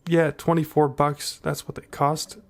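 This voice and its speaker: A man's voice: a little high, flat delivery, measured pace, gravelly. A man hosting a casual product review, talking conversationally and directly to his viewers. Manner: conversational, addresses viewers as guys. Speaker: tech reviewer, channel host. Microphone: USB desktop condenser microphone.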